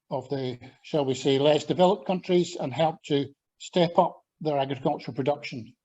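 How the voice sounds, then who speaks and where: An older man from Scotland with a Scottish accent, giving a measured, thoughtful talk to a church audience, often pausing with ums and restarting sentences. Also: a little high, warm tone, highs cut off. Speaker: church talk speaker, former agriculture lecturer. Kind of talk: presenting a talk with slides.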